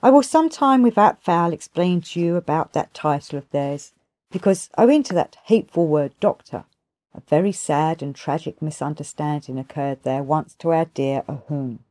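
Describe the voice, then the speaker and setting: A woman narrating a literary audiobook in a measured, formal, deliberate storytelling manner. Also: low voice, darkish tone, no echo. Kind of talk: scripted literary narration, storytelling read aloud.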